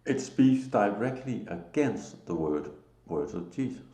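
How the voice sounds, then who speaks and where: A man from Denmark with a Danish accent, giving a solo religious talk to camera in a halting, emphatic way. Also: lowish voice, animated, warm tone, roomy sound. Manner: slow, halting, emphatic, with short laughs. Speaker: lay preacher.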